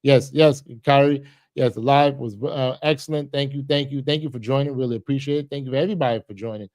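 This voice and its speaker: A middle-aged man chats casually with his livestream viewers, circling back on his words and thanking them again and again. His voice sits at an ordinary pitch. The sound is close and dry, with no room around it. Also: quick pace, darkish tone, dry recording. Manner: repetitive, earnest, many restarts and thank-yous. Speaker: livestream host.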